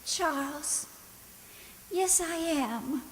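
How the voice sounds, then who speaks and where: Woman playing a very high-voiced, timid young maid in radio drama. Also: drawn-out words, long reverb tail, slight background noise, rough recording. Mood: distressed. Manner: hesitant, timid, repeats words. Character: frightened, naive young maid held captive, gentle and lovestruck.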